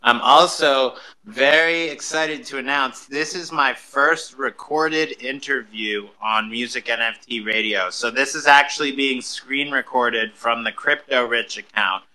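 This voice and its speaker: A man with a very bright, forward voice hosts a live audio show. He talks in a chatty, enthusiastic way, welcoming and upbeat in his delivery. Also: mid-range voice, measured pace, low-quality recording. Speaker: host of an online audio show. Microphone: live social audio room call.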